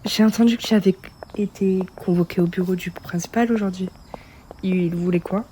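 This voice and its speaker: A woman's voice: mid-range voice, steady tone, normal speed, warm tone, slight background noise, close to an everyday voice, low-quality recording. A woman playing a caring, persistent teenage schoolgirl in an amateur webtoon dub, casual and earnest. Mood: curious. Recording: voice under clearly audible music.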